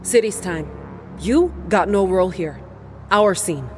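A woman's voice: fairly low pitch, lively intonation, measured pace, slight background noise. A woman playing a young, composed, impatient police detective in an audio drama, with a dismissive manner.

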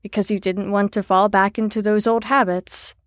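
A woman's voice: mid-range voice, flat delivery, moderate pace, dry recording, studio quality, lightly acted. A woman in an audio drama playing an earnest, caring facility staffer who talks in a reassuring, sincere, slightly rambling way. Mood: sympathetic.

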